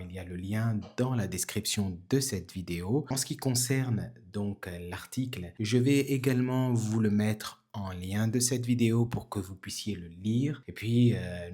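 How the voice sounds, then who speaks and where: A man in his forties from Tunisia, chatting casually to viewers and pausing often with fillers. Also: lowish voice, a little mellow, breathy edge. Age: 40s. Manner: chatty, many filler pauses. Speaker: channel host. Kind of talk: casual vlog commentary. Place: indoors in Tunisia.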